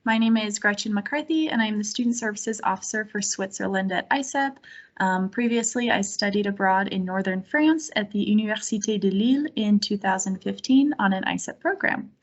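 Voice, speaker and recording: A woman, a student services officer, giving a clear, scripted welcome to an orientation. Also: medium pitch, a little fast. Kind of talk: presentation. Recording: muffled lo-fi audio.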